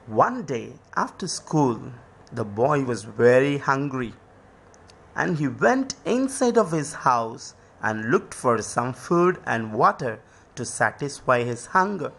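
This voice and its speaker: A man's voice: medium pitch, sing-song, slow pace, vocal fry, fairly bright tone. A man narrating a scripted moral story for children. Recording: music behind the voice. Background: music.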